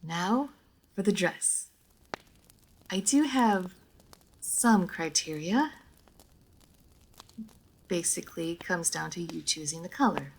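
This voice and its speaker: Slow-spoken, prim vampire lady, acted by a woman. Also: lowish voice, expressive, vocal fry, husky, exaggerated voice. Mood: composed, instructing. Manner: formal, old-fashioned, measured phrasing. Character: centuries-old female vampire, prim, proud, guarded yet playful. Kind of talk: roleplay audio drama addressed to the listener.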